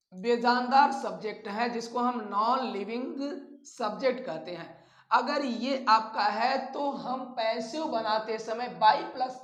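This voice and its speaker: A man's voice: very high-pitched, a bit bright. A man teaching a grammar lesson in a didactic, repetitive way, addressing his listeners as friends. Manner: didactic, repeating key terms and addressing viewers as friends. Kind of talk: classroom-style grammar lesson. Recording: echoey room sound.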